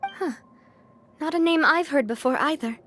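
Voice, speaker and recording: A woman voicing a poised, caring young leader in a video game, composed and gentle. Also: high voice, moderate pace, a little hiss or hum. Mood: solemn, caring.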